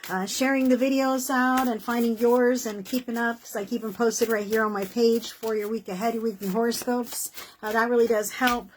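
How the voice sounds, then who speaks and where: A woman with a slightly high voice that is worn and hoarse from a long day of readings. She talks casually and encouragingly, dotting her speech with "you know" and "okay.". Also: steady tone, moderate pace, slight background noise, poor audio. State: losing her voice. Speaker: tarot reader. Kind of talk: casual tarot horoscope reading.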